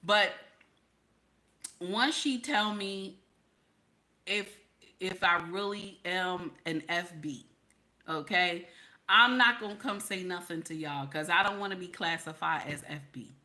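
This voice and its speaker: A woman talks straight to her livestream audience in a bright, forceful voice. She is emphatic and reassuring, circles back to the same points, and keeps tagging her lines with "okay?" Her tone stays casual and unpolished, with the odd curse. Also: on the low side, slow, long pauses. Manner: reassuring, frank and conversational, with some swearing. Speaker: livestream host. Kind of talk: casual livestream talk to viewers.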